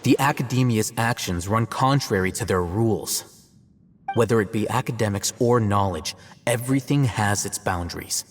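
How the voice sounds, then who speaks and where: An actor playing a calm, measured male academy scribe who explains things in a scholarly way in a video game. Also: fairly low pitch, close to an everyday voice. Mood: reasoned. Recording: long reverb with game music.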